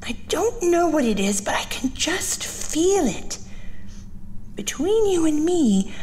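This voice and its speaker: A chatty small-town cleaning lady , voiced by a woman, in an audio horror drama. She talks slowly, her pitch swooping up and down, and she keeps dropping to a confiding whisper to share her gossip. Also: big pitch swings, a little mellow, slight background noise, exaggerated voice, low-quality recording. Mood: gossipy.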